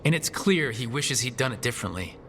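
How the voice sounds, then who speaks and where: A man's voice: mid-range voice, expressive, a little fast, fairly bright tone, a little hiss or hum. A man playing an earnest, principled rebel hero who leads his companions in a fantasy game.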